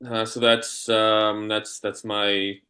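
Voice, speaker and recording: Bright, forceful-voiced man answering haltingly. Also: lowish voice, flat delivery. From Polish-born, raised in the United States. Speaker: interview guest, history scholar and university teacher. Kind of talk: conversational interview answers.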